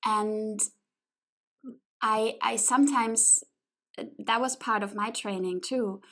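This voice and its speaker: A woman's voice: on the high side, fairly even intonation, slow pace, a bit bright. A woman hosting a casual interview podcast, talking in an engaged, informal, conversational way. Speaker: podcast host.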